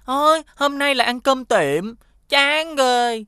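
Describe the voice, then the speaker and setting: A woman's voice: sits high, lively intonation, slow pace, sharp tone, clean tone, dry recording, full character voice. A woman voicing an eager little schoolboy in a children's cartoon, with childlike, exclamatory phrasing. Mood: grumbling.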